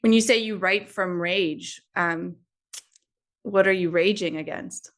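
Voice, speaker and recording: A woman hosting, asking curious, gently probing questions over a video call. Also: fairly low pitch, takes it slowly. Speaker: host. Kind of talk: interview questions.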